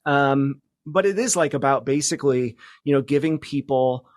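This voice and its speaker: Male interview guest. Average pitch, informal and a little halting as he explains, very dry sound. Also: no room sound. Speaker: podcast guest, producer. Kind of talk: casual interview talk.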